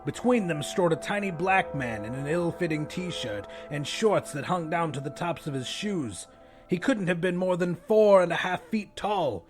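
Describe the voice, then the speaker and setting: Male audiobook narrator, fairly high voice, scripted first-person storytelling, soft music bed. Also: animated, moderate pace.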